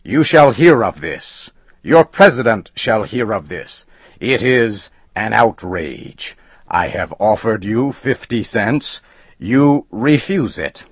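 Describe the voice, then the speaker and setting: A man voicing a furious, indignant gentleman customer who shouts and blusters. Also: lowish voice, very animated, drawn-out words, few pauses, dry recording, voice pushed up, exaggerated voice. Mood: blustering. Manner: blustering, spelling things out angrily.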